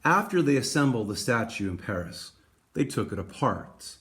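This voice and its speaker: A man hosting a read-aloud for schoolchildren, speaking in a deliberate storytelling way to young listeners. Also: medium pitch, sing-song, a bit bright. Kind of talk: expressive children's picture book read-aloud.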